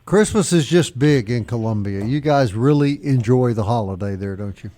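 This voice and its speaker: A man's voice: medium pitch, animated, moderate pace, warm tone. A man hosting a conversational radio interview. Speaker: radio show host.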